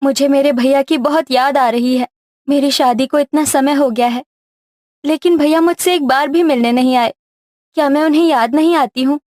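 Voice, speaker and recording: Woman acting meek, high-voiced devoted sister. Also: fairly even intonation, normal speed, a little mellow, no echo, clean studio sound, lightly acted. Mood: sad, earnest. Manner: plaintive, earnest. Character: meek, sorrowful, devoted dark-skinned younger sister.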